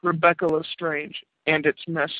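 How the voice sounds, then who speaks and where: A man reads the part of a flustered young single mother in a romance story. She is hesitant and nervous, soft-spoken and prone to stammering. The sound is thin, like a phone line. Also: on the high side, flat delivery, a little slow, no echo, natural voice. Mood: shy.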